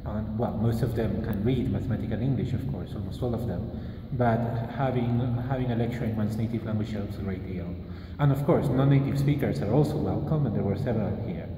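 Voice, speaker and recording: A man's voice: on the low side, brisk, dark tone. A man in his thirties from Peru, answering interview questions about a math school he started, thoughtfully and with many restarts, filmed at arm's length in a large empty classroom. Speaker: mathematician, school organizer. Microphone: phone camera at arm's length. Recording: roomy hall reverb, faint hiss.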